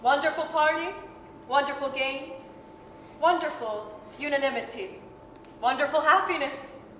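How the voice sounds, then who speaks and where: A woman's voice: sits high, unhurried, pushed and bright. A woman narrating a staged 1930s-style radio drama in a gleeful, theatrical storytelling manner. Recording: echoey hall, faint noise.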